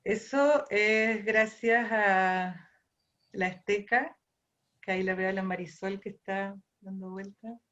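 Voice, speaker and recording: Argentine woman, ceramic artist guest. Reflective storyteller, circles back on her words. Mid pitch, slightly dull recording. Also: slow pace, fairly bright tone. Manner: thinks aloud, repeats and restarts phrases.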